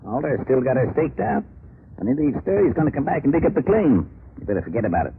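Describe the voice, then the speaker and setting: A man's voice: mid-range voice, very animated, fast talker, creaky. An American man playing a teasing, protective police lieutenant who talks in wry streetwise slang, in old radio drama style. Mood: wry, warning. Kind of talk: 1940s radio drama acting. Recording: narrow-band old radio with faint noise.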